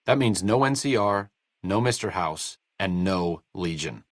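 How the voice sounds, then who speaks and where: An actor playing a sarcastic, idealistic wasteland doctor in a video game, with an American accent and a dry, articulate manner. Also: male voice, sits low, animated, measured pace, no echo. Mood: earnest. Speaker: video game companion character. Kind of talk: video game voice acting, conversational dialogue.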